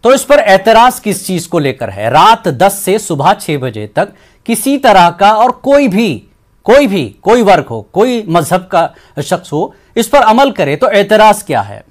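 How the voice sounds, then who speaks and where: A man's voice: high voice, big pitch swings, unhurried. A male TV news anchor with an Indian accent moderating a debate and pressing guests with questions. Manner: probing, prompting with short interjections.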